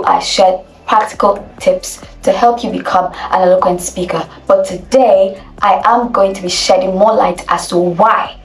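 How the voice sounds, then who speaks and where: A Nigerian woman with a Nigerian accent, teaching as an elocution coach, speaking deliberately and repeating key phrases for emphasis. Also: lowish voice, reverberant, far from the mic, low-quality recording. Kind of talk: instructional video talk.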